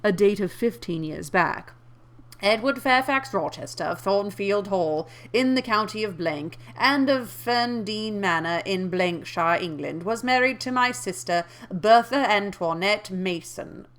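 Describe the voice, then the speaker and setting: Female reader as male lawyer, storybook character, nasal tone, calm, official, deliberate diction. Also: medium pitch. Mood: officious. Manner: formal, deliberate, distinct.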